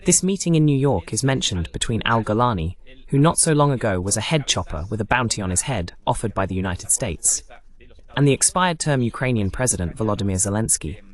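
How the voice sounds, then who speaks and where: A man's voice: on the low side, animated, fairly quick. A man voicing a political talk show host in translation, presenting the discussion.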